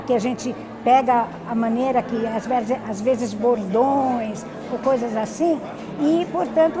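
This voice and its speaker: A Brazilian woman academic answering interview questions in an explanatory, halting way, often adding tag questions. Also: sits high, rough recording. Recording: busy crowd chatter behind. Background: crowd noise.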